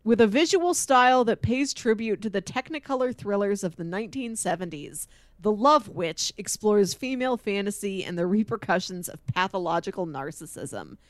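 A woman co-hosting a podcast in casual chat, speaking in an enthusiastic, expressive way. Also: medium pitch, moderate pace, no echo. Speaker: podcast co-host. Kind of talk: casual chat with read-out film pitches.

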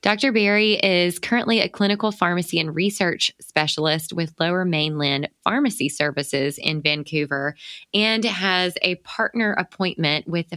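A woman's voice: fairly low pitch, bright, forceful tone, dry recording, studio quality. A woman from the American South with a Southern accent, hosting an interview podcast in a chatty, friendly way. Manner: friendly, chatty, laughs often. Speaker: podcast host.

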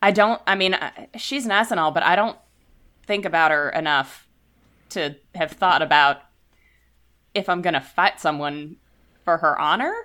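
A woman's voice: on the low side, a little slow, long pauses. A young woman playing in a tabletop game, answering in character with rambling "I mean" restarts and giggles. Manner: giggly and animated. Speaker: player.

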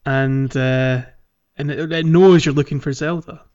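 A man's voice: on the high side, steady tone, measured pace, a little mellow, clear, resonant tone, lo-fi sound. A man co-hosting a podcast, chatting casually about a video game in a hesitant, chuckly, conversational way. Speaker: podcast co-host.

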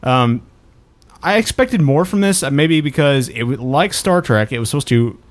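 A man's voice: medium pitch, animated, normal speed. A man hosting a podcast, talking casually as he discusses films. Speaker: podcast host reviewing films.

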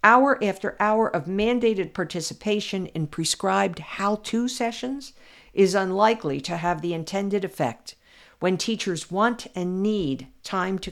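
Measured woman reading her essay, lowish voice. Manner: measured, deliberate reading with emphatic pauses. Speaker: podcast commentator.

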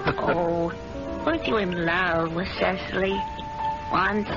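An actress in an old-time radio drama playing a coquettish, worldly mistress who talks in a languid, teasing, unsentimental way. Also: female voice, on the low side, fairly even intonation, slow pace. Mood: wistful, unsentimental. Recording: muffled old radio recording, loud music.

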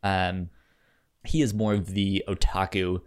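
A podcast co-host chatting casually about a show, often repeating words and restarting sentences in a halting way. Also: sits low, level tone, smooth. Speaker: podcast co-host reviewing anime. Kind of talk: casual chat.

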